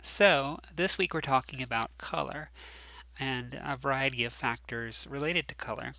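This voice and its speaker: Nasal stuffy guy lecturing, bright gravelly voice, lots of ums, dry close sound. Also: medium pitch, moderate pace, no echo, rough recording. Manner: casual, many ums and restarts. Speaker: course instructor. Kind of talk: recorded class lecture.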